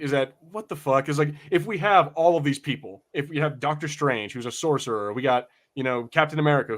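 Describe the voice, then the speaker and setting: A man co-hosting a podcast, chatting casually about pop culture in a profane, exasperated ranting manner. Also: a little high. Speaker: podcast co-host.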